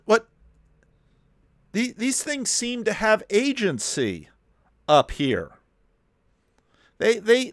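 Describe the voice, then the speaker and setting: A man commenting on a video clip, talking in animated rhetorical questions with slow, deliberate emphasis. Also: sits high, dry recording, studio quality.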